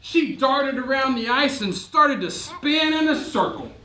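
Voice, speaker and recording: A man's voice: very high voice, expressive, a little slow, sharp tone. A man telling a storybook to children in a playful, theatrical way, often putting questions to them. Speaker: children's storyteller and church kids' ministry host. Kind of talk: animated storybook reading to a group of children. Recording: noisy, echoey room with clicks.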